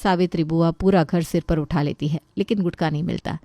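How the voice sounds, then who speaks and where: Female narrator for scripted bedtime-style tales, soft dark timbre, very dry studio-like sound. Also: low voice, fairly even intonation, a little fast, dry recording. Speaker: story narrator.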